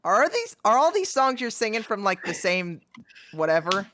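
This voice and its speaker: A woman's voice: medium pitch, sing-song, normal speed, fairly bright tone, slight background noise. A woman chatting casually with friends over an online voice call. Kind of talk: casual chat.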